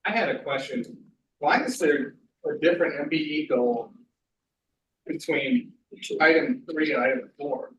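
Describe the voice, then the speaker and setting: A man's voice: fairly high pitch, expressive, unhurried, fairly bright tone. A man on a board asking a mild, curious question during a meeting. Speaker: board member. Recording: roomy, distant, dull, faint hiss.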